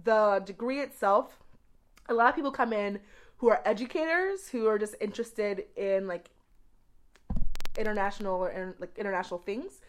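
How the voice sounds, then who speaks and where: A woman from the United States giving conversational interview answers as a guest, expressive and repeating words for emphasis. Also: mid-range voice, normal speed, slight background noise. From grew up in the United States. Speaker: podcast guest, education researcher.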